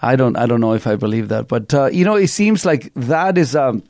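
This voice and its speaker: A middle-aged man from Chile with a Chilean Spanish accent, hosting a talk radio show in an animated, rambling storytelling way. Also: medium pitch, a little fast, no room sound, studio quality. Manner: animated storyteller, many fillers and restarts. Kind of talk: casual opinionated talk radio chat.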